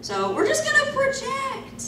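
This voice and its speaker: Heard from across a noisy, echoey room, a young woman reads aloud for comedy. Her voice is high and projected, with wry asides between lines. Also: huge pitch swings, unhurried, far from the mic. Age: young adult. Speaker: convention panel host. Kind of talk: comedic live reading of fan fiction. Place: small convention panel room. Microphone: far camera.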